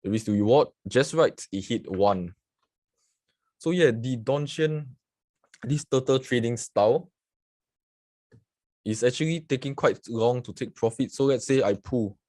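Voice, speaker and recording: A man's voice: mid-range voice, unhurried, long pauses, a little mellow, dry recording. A man from Singapore who teaches forex trading on a livestream, talking casually through chart examples and often saying "so yeah". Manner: casual, repeats "so yeah", greets viewers from the chat. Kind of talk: live screen-share market analysis with chat.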